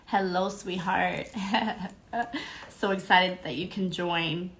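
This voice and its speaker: A woman's voice: on the low side, flat delivery, slow pace, fairly bright tone. A young woman hosting a live podcast stream, congested and a little nasal, bubbly and greeting viewers by name between fillers. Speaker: podcast host. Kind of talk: casual live-stream chat.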